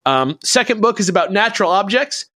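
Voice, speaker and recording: A man's voice: sits high, very animated, a little fast, pushed and bright, no echo, clean studio sound. A man hosting a podcast, explaining things in a casual, rambling, conversational way. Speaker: podcast host presenting a researched topic.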